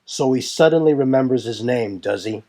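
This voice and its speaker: A man's voice: mid-range voice, moderate pace, mellow, close to an everyday voice. A man voicing a suspicious, hostile young warrior with a scornful, accusing manner in a fantasy audio drama. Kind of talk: fan audio drama dialogue.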